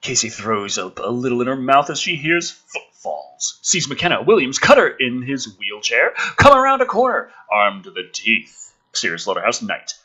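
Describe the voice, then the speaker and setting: A man's voice: medium pitch, very animated, moderate pace, bright, forceful tone, slightly rough. A man hosting a channel, giving a sarcastic, theatrical script reading with exasperated, foul-mouthed commentary. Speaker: host of a gaming and film channel.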